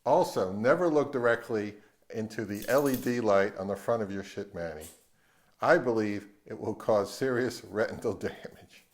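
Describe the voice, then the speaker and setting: A man's voice: on the low side, moderate pace. Man hosting an audio gear review. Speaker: host of an audio review channel. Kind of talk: scripted narration and product review.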